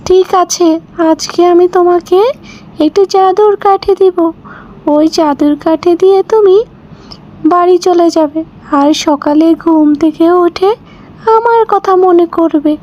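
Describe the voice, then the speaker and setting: Slow, high-voiced kindly fairy acted by a woman. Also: mellow, lo-fi sound. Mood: kindly reassuring. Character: big-hearted, reassuring fairy granting wishes to a child. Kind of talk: children's cartoon voice acting.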